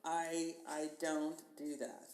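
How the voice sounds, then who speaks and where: Woman, middle-aged, very low voice, casual and gushing, lots of laughs and restarts; roomy recording with faint hiss. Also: little pitch movement, takes it slowly, darkish tone. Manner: laughing, enthusiastic, trailing restarts. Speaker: guest painter. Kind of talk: casual chat. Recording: echoey room with faint hiss.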